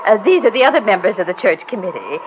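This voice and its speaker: A woman's voice: medium pitch, big pitch swings, fast talker, lightly acted. A woman playing a bossy small-town wife. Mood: brisk. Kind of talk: 1940s radio acting. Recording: lo-fi old radio broadcast with hiss.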